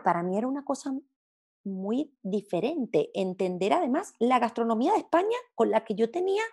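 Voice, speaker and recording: A woman from Venezuela speaks in a fairly high voice with noticeable fry. Her Latin American accent is hard to place. She talks as a guest in a reflective, conversational interview, with an expressive way of putting things. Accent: Spanish of mixed Latin American sound, hard to place. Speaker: interview guest, gastronomy journalist.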